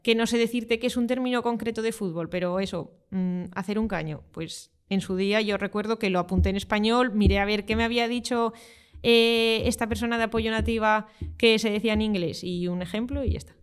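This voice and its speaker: A young woman talks through her translation work in an easy, conversational way. Her voice is smooth and clear, at a middle pitch. She pauses on fillers while she gathers her thoughts. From Spain. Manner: relaxed, explanatory, with frequent fillers. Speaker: guest, football translator. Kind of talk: conversational interview answers.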